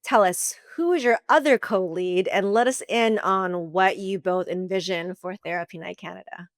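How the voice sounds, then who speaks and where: A woman's voice: medium pitch, moderate pace, dry recording, studio quality. A woman hosting a podcast, asking a guest friendly, encouraging questions. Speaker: podcast host. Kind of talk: interview questions.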